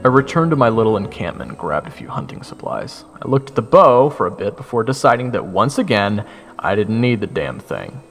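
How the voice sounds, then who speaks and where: Casting: young man, sardonic first-person narrator, gravelly and lively, with faint music behind. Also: lowish voice, fairly quick, big-room sound. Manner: sardonic, expressive. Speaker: narrator and lead voice actor.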